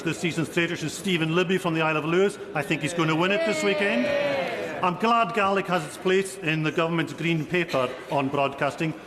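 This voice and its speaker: A man asks a question in a slightly raised voice, light in tone but pressing his point. The large chamber adds a long reverb, and a crowd murmurs around him. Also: a little high. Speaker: member of parliament. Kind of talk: parliamentary question.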